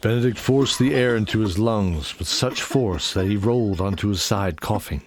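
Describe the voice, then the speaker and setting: Deep-voiced dramatic male storyteller. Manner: deliberate, dramatic. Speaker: narrator. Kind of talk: dramatic scripted storytelling narration. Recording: music behind the voice.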